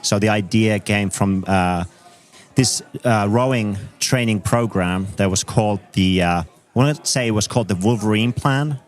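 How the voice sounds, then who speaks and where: Finnish-accented man, low steady voice. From Finland. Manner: thoughtful, measured explanations with frequent fillers. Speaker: guest coach. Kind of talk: conversational interview.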